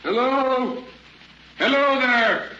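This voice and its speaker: A man playing a solemn, gentle desert wanderer in a radio sci-fi drama, earnest and measured. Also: very high voice, exaggerated voice. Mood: weary, pleading. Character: gentle, pious desert wanderer pleading for peace. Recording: dull, noisy old radio recording.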